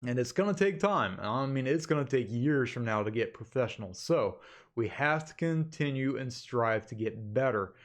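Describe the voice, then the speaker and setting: A man's voice: mid-range voice, lively intonation, normal speed. A man talking informally and directly to his viewers as the host of an online channel, in a casual webcam update recorded on a desktop microphone in an office. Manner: informal, addresses viewers as "guys" repeatedly. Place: indoor home office. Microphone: desktop USB condenser microphone.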